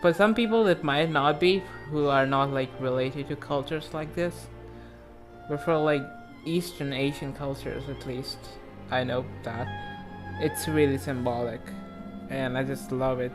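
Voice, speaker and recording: Casting: male gaming reaction host, informal, excitable rambler, mid-pitched voice, clear music underneath. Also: unhurried. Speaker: video game reaction host. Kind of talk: casual reaction commentary. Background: game music.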